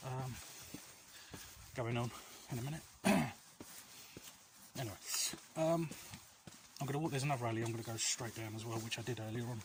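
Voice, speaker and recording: A man's voice: mid-range voice, fairly even intonation, normal speed, pauses often. A man giving earnest, reassuring advice in a casual, rambling chat to viewers as he walks outdoors. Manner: rambling, often losing his thread. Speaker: vlog host. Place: outdoors, walking along alleys. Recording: outdoor walk with clear ambient noise. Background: outdoor ambient noise.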